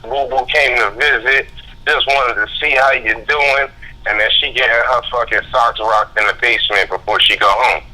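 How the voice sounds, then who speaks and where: A man speaking as a guest on a talk radio show, heated and profane, telling his story fast and forcefully. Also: mid-range voice, fairly even intonation, slight background noise. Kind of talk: angry call-in radio talk. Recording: phone line.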